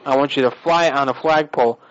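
A man's voice: mid-range voice, quick pace, no room sound. Badgering prank caller, man, dull lo-fi sound. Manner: badgering, accusatory, bossy. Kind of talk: prank phone call.

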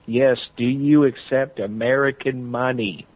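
A man's voice: mid-range voice, fairly even intonation, unhurried, dry recording. A man on a tinny phone-like line, asking casual, provocative prank-call questions. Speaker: prank caller.

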